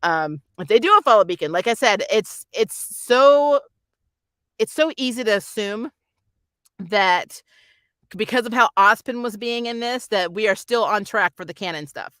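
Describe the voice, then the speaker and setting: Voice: medium pitch, normal speed, a bit bright, smooth, dry recording, clean studio sound. A reviewer chatting casually and animatedly about a show, with a giggly manner, often acting out what characters say. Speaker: reviewer and channel host. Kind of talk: casual fan review commentary.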